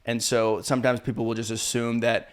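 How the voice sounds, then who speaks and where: A man from Canada hosting a casual interview, relaxed and chatty. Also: medium pitch, brisk. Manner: relaxed, chatty, laughs often. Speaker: podcast host.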